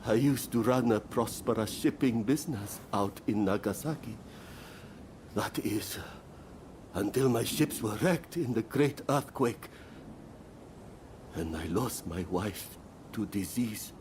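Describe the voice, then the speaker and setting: A humble, ailing beggar in a game drama. He pleads slowly and haltingly, weighed down by remorse. Faint ambient noise sits behind him. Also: fairly low pitch, expressive, a little mellow. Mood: sorrowful, regretful. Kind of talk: dramatic video game dialogue.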